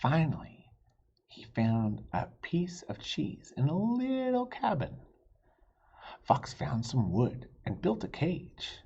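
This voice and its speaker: Animated husky man reading a storybook. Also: on the high side, slow pace, dull audio. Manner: animated, asks children questions. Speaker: teacher reading to children.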